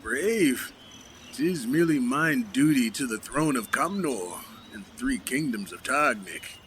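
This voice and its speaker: An actor playing a pompous, hearty, noble paladin in a fantasy comedy audio drama, declaiming grandly in mock-archaic speech. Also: male voice, mid-range voice, expressive, measured pace, fairly bright tone, a little hiss or hum. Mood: gallant, earnest.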